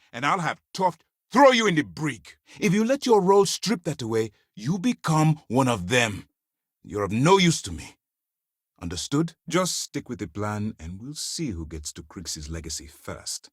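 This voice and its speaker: A man playing a stern, principled space navy commander in a video game, speaking in a formal, measured, authoritative way. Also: on the low side, very animated, slightly rough, no echo, clean studio sound, full character voice. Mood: stern, angry. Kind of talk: scripted game dialogue.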